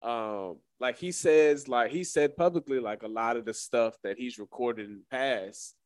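A man's voice: medium pitch, normal speed, no room sound. A man hosting a radio show in casual chat, hedging and thinking aloud as he talks.

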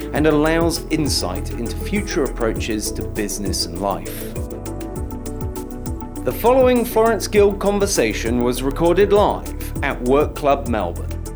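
Male announcer, slightly high voice, formal scripted intro, soft music bed. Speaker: podcast announcer.

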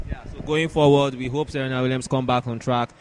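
A man's voice: mid-range voice, fairly even intonation, fairly quick. A man hosting a sports podcast in a conversational way, often repeating and rephrasing as he discusses and poses questions.

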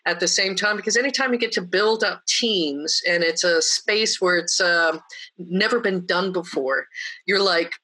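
A woman with a somewhat deep voice tells her story as a podcast guest. Her tone is bright and forceful. She is lively and anecdotal, and she mimics the people she quotes. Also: moderate pace, low-quality recording. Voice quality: deeper voice.

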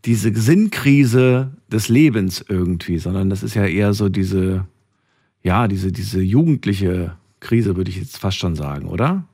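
Radio call-in host, man, lowish voice with very varied melody, addressing callers. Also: expressive, a little slow, dark tone, dry recording, studio quality. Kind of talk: late-night radio talk, addressing listeners and callers. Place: radio studio. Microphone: close studio microphone.

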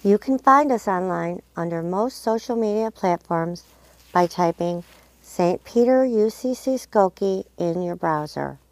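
A woman reading a scripted podcast outro in a bright, upbeat promotional manner. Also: medium pitch, expressive, unhurried, no room sound.

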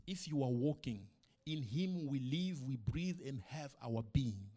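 A middle-aged man, a pastor whose first language is not English, preaching a sermon to his church with emphatic rhetorical questions, swinging between loud and soft. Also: medium pitch, expressive, a little slow.

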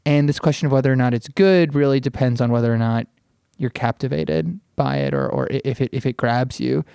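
A man's voice: mid-range voice, brisk, gravelly, dark tone, smooth, no echo, clean studio sound. A man hosting a podcast in a casual, opinionated way, discussing art informally. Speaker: podcast host.

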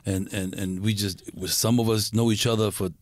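A middle-aged man from Puerto Rico, a guest in a casual interview, who talks in a halting way, repeating words and using street slang. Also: on the low side, level tone, no echo, studio quality.

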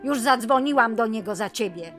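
A woman's voice: on the high side, expressive, moderate pace, a bit bright. A woman playing a fussy, overprotective aunt of fifty in a radio comedy, fretting and coddling as she chatters, with music playing behind her. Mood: scolding, chatty. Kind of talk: radio comedy drama acting.

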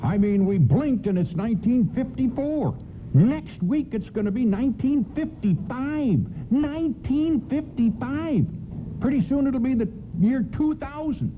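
A man's voice: extremely high pitch, lively intonation, measured pace. An older man acting in a nostalgic radio play as a grumbling, wistful department store Santa who insistently repeats himself. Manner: repeats phrases insistently, folksy bickering. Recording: lo-fi hissy radio play recording.

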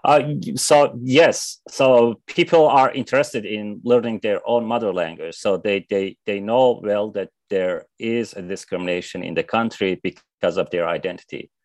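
Hesitant Iranian-born man, low voice. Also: normal speed, few pauses, darkish tone, no room sound. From Iran. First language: Azerbaijani Turkish. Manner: hesitant, many fillers and restarts. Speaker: interview guest, activist. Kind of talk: interview answers.

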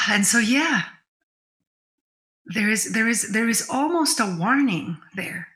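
A woman's voice: fairly high pitch, lively intonation, takes it slowly, bright, forceful tone. A woman co-hosting a spiritual discussion podcast, thinking aloud in a reflective way and restating her points. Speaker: podcast co-host.

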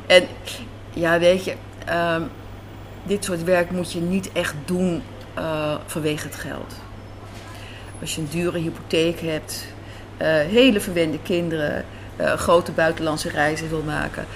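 A woman's voice: low voice, flat delivery, slow pace. A woman giving conversational, reflective interview answers as a writer and director, in a café. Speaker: guest, radio drama writer and director. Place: indoors in a café. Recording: clear background noise of a café.

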